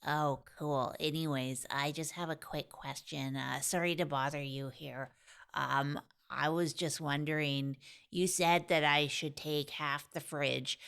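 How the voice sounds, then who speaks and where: A woman's voice: sits low, fairly even intonation, a little slow, gravelly, fairly bright tone, clean tone, voice pushed down, heavily acted. Woman voicing a timid, fumbling male roommate. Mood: hesitant. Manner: hesitant, apologetic, full of ums.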